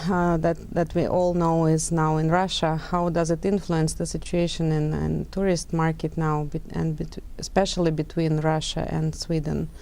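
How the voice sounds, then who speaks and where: A woman's voice: deep voice, flat delivery, normal speed, few pauses, warm tone. A woman hosting a TV interview in English, using many fillers and short murmurs of agreement. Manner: frequent fillers and back-channel murmurs. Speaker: TV host.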